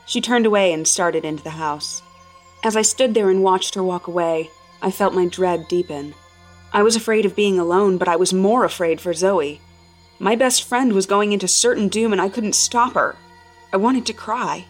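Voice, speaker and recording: A woman narrating scripted first-person horror fiction in a measured, expressive storytelling style. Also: mid-range voice, soft background music.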